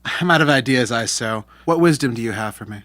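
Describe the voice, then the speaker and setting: A man playing a clever, coaxing spider god in a storybook drama, earnest and expressive. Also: medium pitch, brisk, no room sound, lightly acted. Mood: puzzled, sly. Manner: wheedling, expressive. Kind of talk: storybook audio drama acting.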